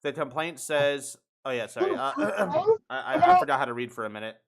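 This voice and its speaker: A man's voice: on the high side, huge pitch swings, brisk, a loud crowd. A man hosting a casual podcast, reading out a news story and commenting on it in an emphatic, indignant, swearing manner. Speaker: podcast host.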